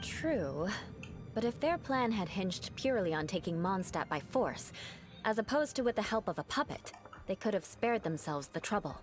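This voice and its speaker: A woman voicing a proud, vengeful young woman knight in a game, cold and haughty, with sharp battle cries. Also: mid-range voice, sing-song, normal speed, lightly acted. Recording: game audio with music underneath.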